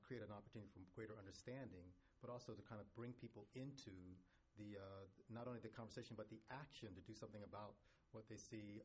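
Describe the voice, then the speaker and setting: A man speaking as a panelist, answering an audience question in a reflective way and often repeating words as he thinks aloud. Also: on the low side. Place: conference panel stage.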